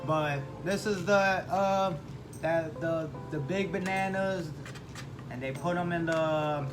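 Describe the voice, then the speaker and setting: A man from Michigan chatting casually on a food vlog, rambling and halting with lots of fillers as he explains dishes. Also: on the high side, steady tone. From Michigan, United States. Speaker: vlog co-host. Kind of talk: casual mukbang chat. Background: background music.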